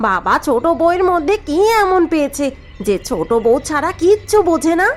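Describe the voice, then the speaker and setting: A woman's voice: sits high, very animated, brisk, soft background music. A woman voicing a conniving elder daughter-in-law. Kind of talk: cartoon voice acting.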